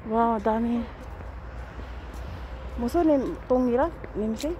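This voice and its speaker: A Filipino-accented woman with a slightly high voice chats casually for a walking vlog. She takes her time with a very unhurried delivery. The handheld outdoor recording has clear noise behind her. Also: dark tone, dull audio. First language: Tagalog. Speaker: vlogger. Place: outdoors at a riverside camping area.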